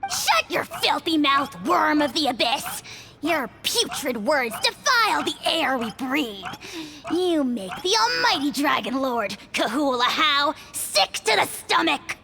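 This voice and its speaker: A woman's voice: high voice, very animated, measured pace, vocal fry, pushed and bright, heavily acted. A woman voicing a tiny, pompous male dragon lord who hurls grandiose insults in game dialogue. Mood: furious. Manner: grandiose insults, blustering tirades.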